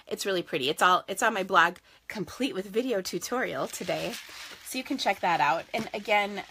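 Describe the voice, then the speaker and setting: Chatty Minnesotan woman with a bright voice. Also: medium pitch. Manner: chatty and rambling, laughs often. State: tired, voice starting to go. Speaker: stamping crafts host. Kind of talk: casual live-stream chat. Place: home craft office.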